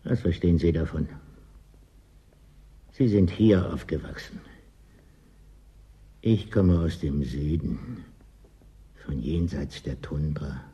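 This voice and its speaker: An actor in a German radio play playing a resigned, aloof property dealer, dry, curt and dismissive. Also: male voice, extremely low pitch, slow, long pauses, mellow.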